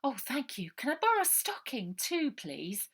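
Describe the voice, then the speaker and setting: A woman's voice: high-pitched, wildly swooping pitch, moderate pace, a bit bright, breathy edge, pitched above the performer's own voice, exaggerated voice. A woman voicing a plucky, eager girl mechanic in a 1930s children's storybook, earnest and practical.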